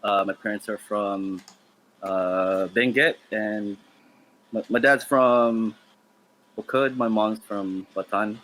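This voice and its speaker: Male guest in his thirties, bright forceful tone, self-deprecating and quick to laugh, faint hiss behind. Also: on the low side, fairly even intonation. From Philippines, raised in Canada. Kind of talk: casual chat.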